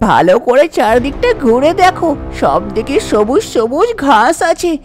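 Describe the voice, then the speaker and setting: A woman's voice: high voice, sing-song, normal speed, faint music behind. A woman voicing a scheming middle-aged village mother-in-law in a cartoon tale, coaxing and insistent.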